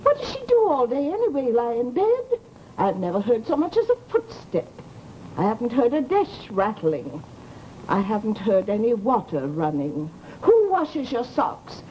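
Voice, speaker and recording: Nosy widowed landlady, American, aggrieved and garrulous, very animated high voice, vintage radio drama with faint noise. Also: unhurried, muffled recording. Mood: prying, scolding. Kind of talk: 1940s American radio horror acting. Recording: noisy old-time radio recording.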